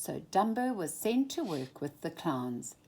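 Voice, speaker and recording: Woman storyteller, unhurried, breathy, lively expressive reading for kids. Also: fairly low pitch, rough recording.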